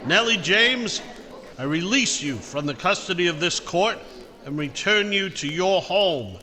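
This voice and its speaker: A man acts a formal, solemn judge in 1940s radio drama. The voice is forceful and fairly high, declaimed slowly in a long reverb. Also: slight background noise, full character voice. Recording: long courtroom-like reverb, music behind.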